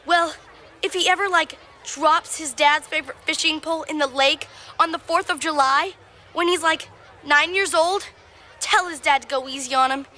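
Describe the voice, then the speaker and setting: Woman voicing very high, earnest puppet boy. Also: moderate pace, bright, forceful tone, slight background noise. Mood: sheepish. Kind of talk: church puppet show acting.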